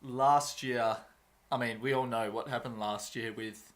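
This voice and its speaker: A man's voice: mid-range voice, steady tone, unhurried. A man co-hosting a sports podcast, easygoing and agreeable, with many fillers. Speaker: podcast co-host. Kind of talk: casual sports chat.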